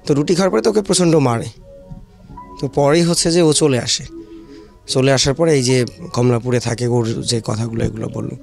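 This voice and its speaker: A man's voice: medium pitch, a little fast, vocal fry, a little mellow, faint music behind. A man with a Bangladeshi accent giving explanatory interview answers as a shelter manager, in hesitant phrases sprinkled with English terms.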